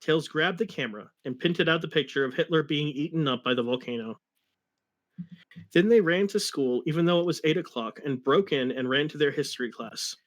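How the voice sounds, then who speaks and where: A man's voice: on the high side, a little fast. A man reading fan fiction aloud dramatically and chatting casually about it. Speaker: story reader.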